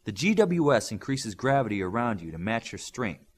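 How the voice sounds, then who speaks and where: A stern male trainer acted by an audiobook narrator issues brisk orders to a team. The voice is husky and commanding, with emphatic swings in pitch. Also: sing-song. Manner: brisk, giving clipped orders.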